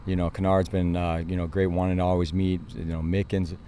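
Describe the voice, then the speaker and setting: A man's voice: deep voice, flat delivery, brisk, warm tone, a little hiss or hum. An American man, a football coach, answering interview questions in an earnest, explanatory and informal way.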